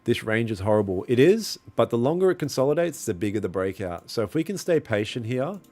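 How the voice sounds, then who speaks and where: A man's voice: medium pitch, big pitch swings, brisk, mellow. A young man livestreaming his trading, chatting casually with viewers in a slangy, laid-back way. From Australia. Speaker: livestreaming trader. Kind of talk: casual live-stream chat, reading and answering viewer comments. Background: faint lo-fi music.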